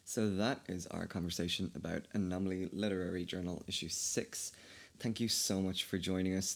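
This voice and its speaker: A man's voice: low-pitched, gravelly, slightly rough. A man with an Irish Kildare accent hosting a casual, chatty conversation, laughing often and swearing freely. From Ireland. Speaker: podcast host. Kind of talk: casual chat.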